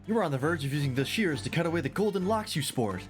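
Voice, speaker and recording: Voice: medium pitch, lively intonation, quick pace, music in the background, close to an everyday voice. A streamer doing a grandiose eccentric detective. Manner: grandiose, declamatory. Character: theatrical eccentric male detective.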